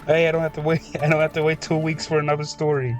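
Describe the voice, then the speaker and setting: A man's voice: a little high, completely flat delivery, quick pace, soft background music. A man giving casual, spontaneous reaction commentary as he plays a story game. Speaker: streamer playing a game.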